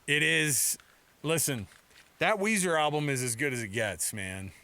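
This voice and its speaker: An American man co-hosting a lively sports talk radio show. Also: a little high, unhurried, clean tone, dry recording.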